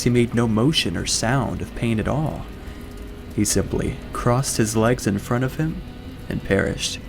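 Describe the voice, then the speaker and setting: A man narrating scripted fiction in a measured, dramatic storytelling way. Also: lowish voice, creaky.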